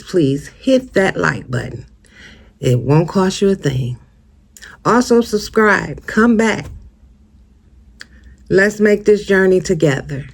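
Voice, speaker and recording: An American vlogger giving casual, opinionated commentary straight to viewers, emphatic and conversational, often posing rhetorical questions. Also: mid-range voice. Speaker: host of a true-crime commentary channel.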